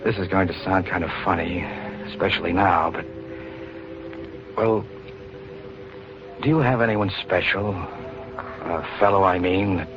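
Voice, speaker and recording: An actor playing a plain-spoken, brave, bashful young man in an earnest, halting 1940s radio style. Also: deep voice, music in the background. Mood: shy, earnest. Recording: noisy, muffled 1940s radio recording.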